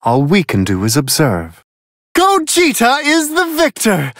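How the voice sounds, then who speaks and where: Unflappable celestial attendant, male figure, prim and courtly, theatrical, very animated intonation, amused, video game commentator, dry studio sound. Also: high voice, unhurried, dry recording, heavily acted. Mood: curious, wry, then delighted, admiring.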